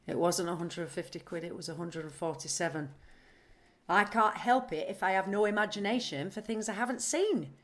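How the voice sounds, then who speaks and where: An actress playing an impulsive, scattered and stubborn woman in an audio drama, deadpan and earnest. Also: fairly low pitch, a little fast, natural voice. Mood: defensive. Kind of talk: naturalistic audio drama acting.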